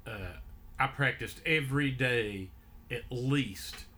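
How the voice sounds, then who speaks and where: A man hosting a podcast, telling a story in a relaxed way with many fillers, his voice a bit deeper than usual. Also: takes it slowly. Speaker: podcast host. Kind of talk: casual storytelling chat.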